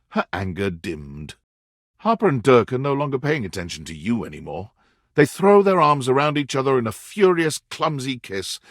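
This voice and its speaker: The narrator of a dating video game, telling the story straight to the player. His medium-pitched voice moves up and down expressively, with measured storytelling phrasing. The sound is dry, with no room around it. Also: fairly bright tone, dry recording. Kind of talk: scripted second-person game narration.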